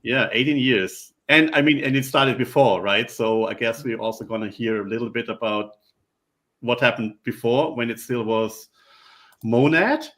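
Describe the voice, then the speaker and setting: A man's voice: medium pitch, moderate pace, fairly bright tone. Man, livestream host, video call mic, friendly rambling delivery, lots of restarts. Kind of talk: casual livestream hosting.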